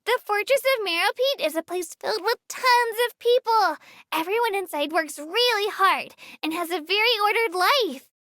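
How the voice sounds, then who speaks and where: Tiny high-voiced creature tour guide. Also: female voice, expressive, moderate pace, sharp tone, no room sound, studio quality, exaggerated voice. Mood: friendly, explaining. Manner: polite, earnest, slightly hesitant, self-effacing. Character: small, earnest, eager Melusine boat tour guide, girlish creature. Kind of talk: scripted game tour commentary.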